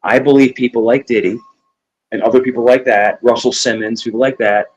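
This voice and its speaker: A man's voice: mid-range voice, steady tone, brisk, poor audio. New york guy cohosting a chat show, earnest, says things twice. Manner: earnest, opinionated, repeats himself. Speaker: co-host. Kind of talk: casual talk show chat.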